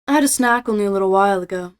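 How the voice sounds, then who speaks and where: An actress playing the devil's daughter, a self-possessed and predatory succubus, in an audio drama. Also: female voice, mid-range voice, very fast, smooth, lightly acted. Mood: casual, curious.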